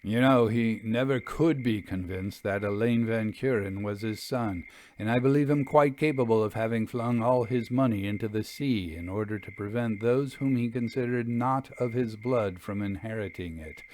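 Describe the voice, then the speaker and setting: A man reading the dialogue of a thoughtful, reserved storybook gentleman in a measured, formal manner. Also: on the low side, lightly acted. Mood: thoughtful, doubting. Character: thoughtful, reserved gentleman narrator in love, male. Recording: clear background noise.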